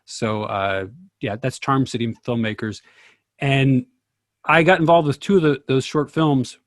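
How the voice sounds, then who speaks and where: A man's voice: mid-range voice, steady tone, a little slow, no room sound. Man hosting a casual group chat, dry sound. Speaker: host and actor in a screenwriters group. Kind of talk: casual group discussion, storytelling. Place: video call.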